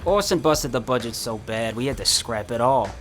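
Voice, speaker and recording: An actor playing an easygoing, joking stagehand at a small theater in an audio drama. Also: male voice, medium pitch, expressive, moderate pace, a bit bright, slight background noise, close to an everyday voice. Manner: casual, joking.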